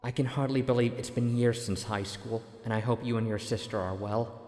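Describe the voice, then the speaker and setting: Audio story role: male actor as earnest young former student, shy, grateful, mid-range voice, music clearly underneath. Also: mid-range voice, fairly even intonation, fairly quick, dark tone, close to an everyday voice. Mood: heartfelt. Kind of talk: dramatic audio story reading.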